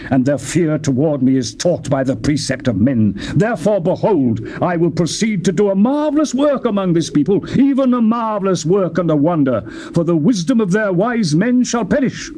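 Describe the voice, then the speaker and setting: A man's voice: on the high side, dark tone, a little hiss or hum. A man reading scripture as a dramatic narrator, solemn and declamatory, in archaic diction, on an old cassette tape. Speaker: Bible narrator.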